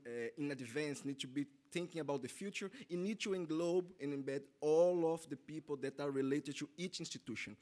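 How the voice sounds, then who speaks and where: Brazilian guy giving a conference talk, portuguese native, voice a bit high, keeps restarting his sentences. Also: darkish tone. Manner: halting, many restarts and self-corrections. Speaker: conference presenter. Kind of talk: conference presentation.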